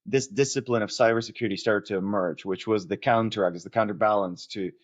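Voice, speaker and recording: Low-voiced man, slightly muffled audio. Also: steady tone, fast talker. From Argentina. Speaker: company founder being interviewed. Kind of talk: conversational interview answers.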